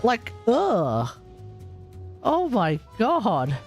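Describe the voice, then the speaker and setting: A man's voice: high voice, huge pitch swings, very slow, vocal fry. A man giving casual live commentary as a game streamer, with a grumbling, sardonic manner. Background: game music.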